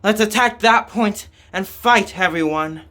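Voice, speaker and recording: Earnest male game ally, played by a man, raised pitch, excitable and emphatic. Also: a little slow, noisy background. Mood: urgent. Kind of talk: scripted game dialogue reading.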